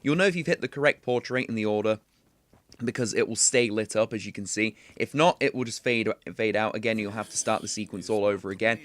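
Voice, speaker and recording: A man's voice: on the low side, fairly quick, fairly bright tone. A young man hosting a video game walkthrough, talking casually and chattily with plenty of filler words. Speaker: gaming tutorial host.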